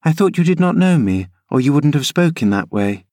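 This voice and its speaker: A man reading an audiobook, voicing a headstrong, eager teenage girl in a raised, bright voice. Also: fairly high pitch, sing-song, brisk, no room sound, studio quality, pitched above the performer's own voice. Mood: indignant.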